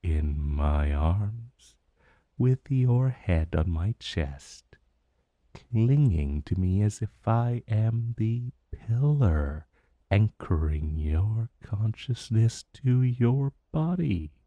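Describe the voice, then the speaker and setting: Man voicing a very slow, smug ancient dragon. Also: lowish voice, very animated, dry recording, lo-fi sound, exaggerated voice. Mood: smug, menacing. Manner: smug, purring, drawn-out menacing delivery. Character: ancient male dragon familiar, possessive, condescending, teasing, vaguely menacing. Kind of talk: intimate ASMR roleplay, slow and deliberate.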